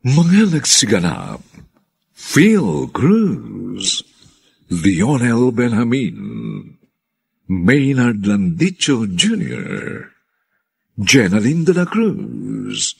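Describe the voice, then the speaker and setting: A man's voice: medium pitch, sing-song, very slow, creaky, husky. A man with a Filipino accent announcing a radio drama in dramatic scripted narration.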